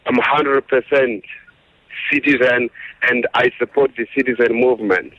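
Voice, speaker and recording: A man with a Zimbabwean accent calling a radio show, speaking in an earnest, devout and deliberate way. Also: mid-range voice, fairly even intonation, fairly bright tone, dry recording. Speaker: phone-in caller. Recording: dull telephone line, dry.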